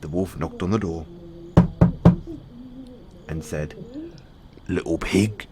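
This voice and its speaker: A man narrating a bedtime fairy tale as a storyteller, in a simple, measured read-aloud delivery. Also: extremely low pitch, fairly even intonation, music in the background.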